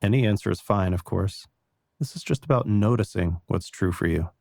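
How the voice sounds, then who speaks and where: Man, meditation guide, mellow dark tone, creaky low edges, unhurried, reassuring, soft instruction. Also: dry recording, clean studio sound. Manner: calm, gentle, unhurried, reassuring.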